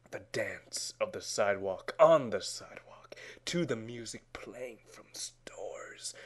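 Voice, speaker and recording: Man reading slowly with swooping mock drama. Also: on the high side. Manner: theatrical, overwrought, with long dramatic pauses; swings between hushed whispers and loud declaiming.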